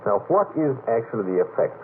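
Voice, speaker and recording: A middle-aged man telling a rambling story in a radio panel talk, halting, repeating words and checking that listeners follow. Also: mid-range voice, big pitch swings. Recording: noisy vintage late-night radio, narrow tinny band.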